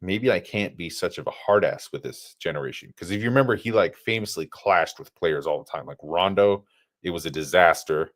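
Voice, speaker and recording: A man's voice: low-pitched, a little fast. A man co-hosting a podcast, chatting casually and building his points step by step. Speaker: podcast co-host. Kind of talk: casual sports chat.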